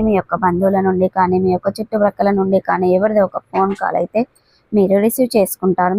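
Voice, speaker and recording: A woman with a noticeably creaky voice narrates a daily horoscope. Her pitch is average for a woman, and she words things in a repetitive, roundabout way. The sound is dry and close. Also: darkish tone, no room sound, poor audio. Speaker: astrology channel presenter.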